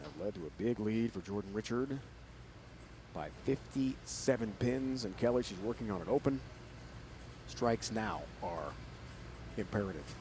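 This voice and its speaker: A man doing energetic live play-by-play commentary on a bowling match from a packed arena. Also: on the low side, unhurried, long pauses, noisy background. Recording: loud crowd and music behind. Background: loud cheering crowd and music.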